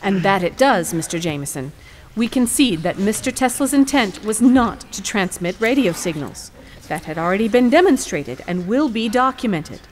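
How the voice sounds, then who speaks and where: A woman playing a determined attorney in an audio courtroom drama, speaking formally and deliberately. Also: medium pitch, expressive, slight background noise, lightly acted. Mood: formal, assured. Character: poised, determined female attorney arguing in court.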